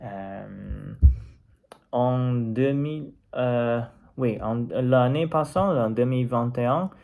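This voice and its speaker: A man from China, a native Mandarin speaker and language learner, talking unscripted in a personal vlog with a Chinese accent, halting as he searches for words. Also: medium pitch, gravelly, a little mellow.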